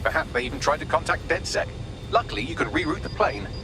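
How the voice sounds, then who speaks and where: An actor voicing a cheeky, sarcastic British artificial intelligence assistant, glib and quick to mock, in a video game. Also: male voice, a little high, vocal fry, background noise. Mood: smug briefing.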